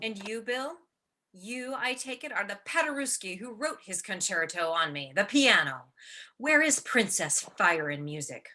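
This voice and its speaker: A woman's voice: medium pitch, expressive, normal speed, bright, forceful tone, slight background noise. A woman playing a proud, paranoid, wounded leading actress in a radio play, with theatrical, sarcastic and emphatic delivery. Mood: biting sarcasm. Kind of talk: radio play acting of classic film dialogue.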